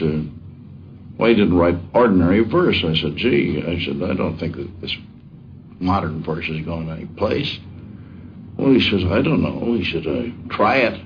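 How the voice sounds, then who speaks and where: A plain-spoken man telling anecdotes about an old colleague in a folksy, halting, informal way. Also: low voice. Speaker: former newspaper colleague. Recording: dull, noisy 1950s radio recording.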